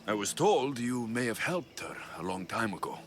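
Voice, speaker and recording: An actor playing a blunt, determined Spartan mercenary in a video game, with a sardonic edge. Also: male voice, mid-range voice, big pitch swings, normal speed, slight background noise, lightly acted. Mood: earnest. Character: blunt, determined male Spartan mercenary searching for his mother.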